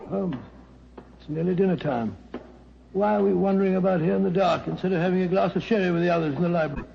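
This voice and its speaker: Blustery English gentleman sidekick, creaky and high. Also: quick pace. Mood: grumbling. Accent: British. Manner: blustery, old-fashioned and exclamatory. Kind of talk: 1940s radio drama acting. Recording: muffled, noisy old radio recording.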